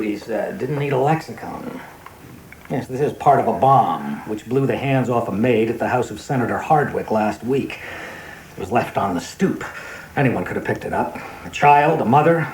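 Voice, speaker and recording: Actor as probing American official in a roomy space. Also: male voice, mid-range voice, expressive, moderate pace, darkish tone, a little hiss or hum, close to an everyday voice. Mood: grave. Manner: probing, hesitant openings with emphatic pronouncements. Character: avuncular but grave senior government official, questioning a recruit. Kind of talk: radio drama acting.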